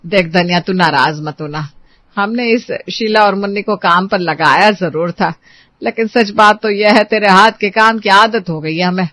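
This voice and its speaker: A woman's voice: mid-range voice, normal speed, fairly bright tone, lightly acted. A woman playing a bossy, exasperated mistress of a household in a story, complaining emphatically. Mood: placating, insistent.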